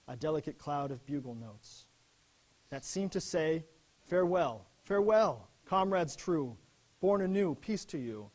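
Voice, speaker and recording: A man playing an earnest First World War army officer, giving a solemn, measured dramatic reading of letters and verse. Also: a little high, a little mellow, rough recording.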